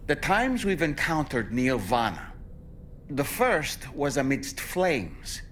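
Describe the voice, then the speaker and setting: A man voicing a chummy, musing companion character in a video game, speaking in an expressive, theatrical way. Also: medium pitch, a little hiss or hum. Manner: expressive, musing, speculating aloud. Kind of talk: video game cutscene dialogue.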